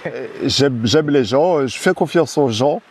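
Male guest, casual outdoor interview, laid-back, halting delivery with many fillers. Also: mid-range voice. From French Guiana. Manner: hesitant, many restarts and fillers, relaxed.

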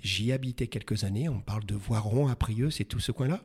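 Husky chatty male interview host. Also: fairly low pitch, fairly quick, darkish tone. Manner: chatty, repeats words, hesitant fillers. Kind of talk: casual interview, warm banter.

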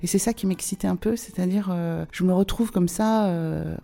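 A woman of forty-eight from France speaking conversationally as an interview guest, often pausing to search for her words. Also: lowish voice, creaky, mellow. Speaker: podcast guest. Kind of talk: conversational interview, recounting own life.

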